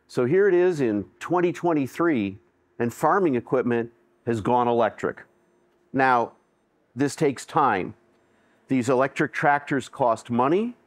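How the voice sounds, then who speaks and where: A man's voice: mid-range voice, dry recording. Older man explaining things to camera, dry sound. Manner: plain, conversational, explaining with hand gestures. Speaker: presenter. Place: outdoors by solar panels and at a winery.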